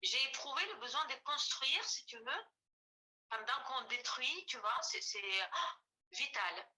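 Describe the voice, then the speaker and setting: A Ukrainian woman in her forties, a native Ukrainian speaker, talks on a video call in a very bright, fairly high voice. She keeps hesitating, with many fillers and restarts. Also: expressive, a little slow, rough recording. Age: 40s. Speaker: artist guest. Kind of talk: casual interview.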